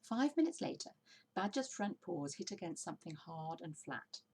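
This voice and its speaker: A woman's voice: fairly low pitch, big pitch swings, moderate pace, darkish tone, breathy edge. A woman narrating a children's storybook expressively, as a story reader.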